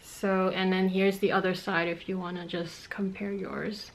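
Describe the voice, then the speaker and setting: Woman in her 30s, first language cantonese, medium pitch, casual q&a vlog chat at home. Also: level tone, normal speed, vocal fry, slight background noise, poor audio. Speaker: fashion vlog host answering viewer questions. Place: small room at home, indoors.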